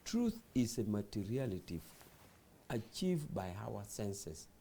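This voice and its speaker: A man's voice: on the low side, wildly swooping pitch, slow pace, dark tone, slight background noise. A man, a party official on a talk show, defending his side in an insistent, lecturing way. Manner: insistent, lecturing, repeats phrases.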